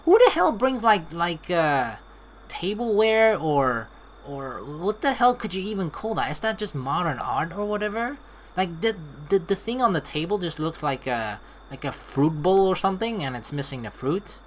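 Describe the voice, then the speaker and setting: A woman doing casual let's play commentary and reading game dialogue aloud in an expressive, playful, sweary way. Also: lowish voice, moderate pace, slight background noise.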